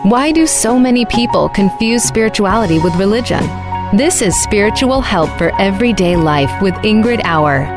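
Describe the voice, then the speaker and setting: A woman reading a scripted radio show introduction in a smooth, polished way. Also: medium pitch, lively intonation, normal speed. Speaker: show announcer. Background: clear music.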